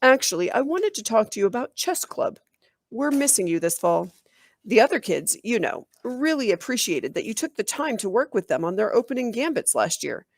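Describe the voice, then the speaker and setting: A woman's voice: a little high, very animated, normal speed, dry recording, studio quality, pitched above the performer's own voice. A woman voicing an earnest, persuasive male middle-school math teacher in a storybook reading. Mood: coaxing.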